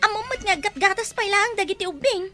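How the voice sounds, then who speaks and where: Woman as a very high-pitched, pleading Ilocano wife. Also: animated, a little fast, pushed and bright, faint music behind, exaggerated voice. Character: worried, pleading young wife and mother of babies. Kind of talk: Ilocano audio drama acting.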